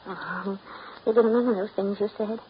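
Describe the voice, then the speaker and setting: A woman's voice: medium pitch, steady tone, measured pace, lightly acted. An actress playing a needy, coquettish, self-pitying woman in a 1930s radio drama, with a pleading, theatrical delivery. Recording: muffled, hissy 1939 radio broadcast.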